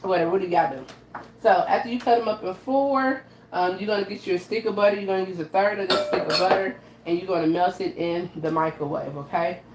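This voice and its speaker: A woman with a raspy, slightly low voice talks viewers through a recipe in a casual, chatty way, checking in with "okay?" again and again. She is recorded in a roomy, echoey home kitchen with faint noise behind her. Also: brisk. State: raspy from allergies. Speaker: host of a live cooking stream. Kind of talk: casual live cooking demo talking to viewers. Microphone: camera she adjusts by hand.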